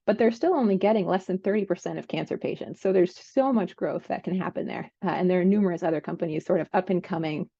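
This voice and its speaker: Creaky, low-voiced woman, dry sound. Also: fast talker, hardly any pauses, warm tone, no echo. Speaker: biotech analyst. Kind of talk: conversational market briefing. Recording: slightly dull, lo-fi call audio.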